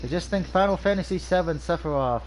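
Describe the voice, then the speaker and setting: A man with a slightly high voice talks through a video game review in a loose, rambling way. He sounds nasal and stuffed up from allergies, pausing often on "um" and restarting his sentences. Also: expressive, a little hiss or hum, poor audio. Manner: rambling, frequent ums and restarts. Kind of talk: casual game review over gameplay.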